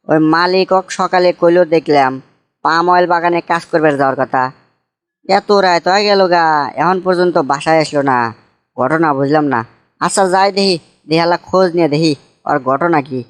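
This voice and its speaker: A man's voice: fairly high pitch, normal speed, long pauses, smooth, lightly acted. Man acting a solemn, concerned worker friend. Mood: puzzled. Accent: northern Bangladeshi (Bogura). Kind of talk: amateur comedy cartoon dubbing.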